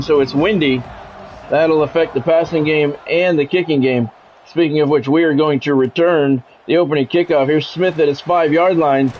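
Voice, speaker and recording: A man's voice: fairly high pitch, darkish tone, muffled recording. Man livestreaming football play-by-play over music. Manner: talks to viewers, repeats himself, swears casually. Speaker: video game streamer doing play-by-play commentary. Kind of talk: casual livestream chat mixed with sports commentary.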